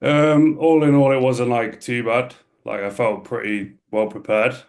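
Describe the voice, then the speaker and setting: A man's voice: medium pitch, steady tone, unhurried. A man, a band's drummer, answering interview questions in a plain, easygoing way with many likes.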